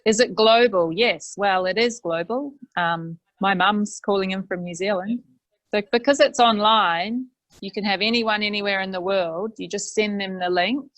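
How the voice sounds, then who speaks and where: A woman's voice: medium pitch, moderate pace, a bit bright, no room sound, rough recording. A middle-aged woman with glasses and a headset, explaining the basics of a video call app in a plain, practical way. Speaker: library workshop host. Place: small office with green wall. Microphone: headset mic.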